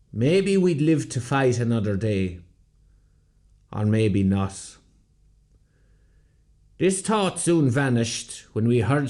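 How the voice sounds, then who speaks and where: A man's voice: medium pitch, a little mellow. An actor playing a solemn rebel commander, narrating his memories of battle reflectively in a radio play.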